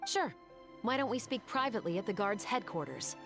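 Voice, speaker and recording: An actress playing a stern, dutiful police captain in a video game, composed, businesslike and direct. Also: female voice, mid-range voice, sing-song, brisk, over music.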